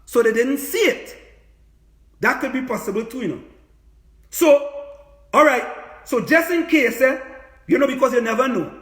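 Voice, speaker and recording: A man's voice: high-pitched, fairly bright tone. A man with a Trinidadian accent delivering an impassioned, informal livestream monologue, emphatic and repetitive, full of rhetorical questions. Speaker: livestream host, political activist; business lecturer. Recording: echoey room, livestream.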